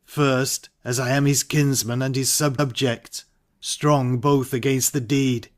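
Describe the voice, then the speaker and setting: Guy doing a torn ambitious nobleman, shakespeare audiobook, slow measured verse, mid pitch. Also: little pitch movement, close to an everyday voice. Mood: brooding, torn. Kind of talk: Shakespearean dramatic audiobook reading.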